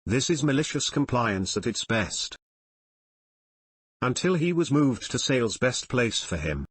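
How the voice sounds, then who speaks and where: A man's voice: medium pitch, sing-song, unhurried, slightly rough, no echo. A man narrating forum stories and comments from a script, in the role of a reader. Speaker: narrator reading online forum posts and comments.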